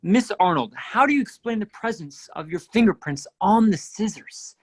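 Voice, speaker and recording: A man playing a forceful, accusing district attorney in a radio play, theatrical and emphatic. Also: high-pitched, lively intonation, normal speed, dry recording. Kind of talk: radio play courtroom acting.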